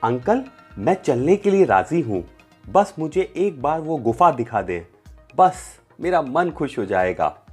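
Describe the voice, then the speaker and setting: A man's voice: a little high, big pitch swings, slow, a little mellow, breathy edge, faint music behind, exaggerated voice. A man voicing an eager, curious young boy in a children's story, pleading and wondering. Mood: insistent pleading.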